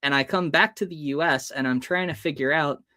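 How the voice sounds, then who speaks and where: A man's voice: a little high, a little fast, bright, forceful tone, no room sound, clean studio sound. A man in his thirties from the United States, a hypnotist guest telling stories in an interview and acting out the people in them. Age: 30s. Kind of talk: storytelling in an interview.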